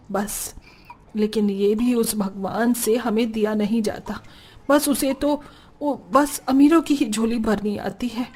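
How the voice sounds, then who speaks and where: A woman's voice: on the high side, a little mellow. A woman voicing a poor, weary, lamenting family woman in a melodramatic Hindi cartoon story. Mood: weary, bitter. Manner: plaintive, melodramatic.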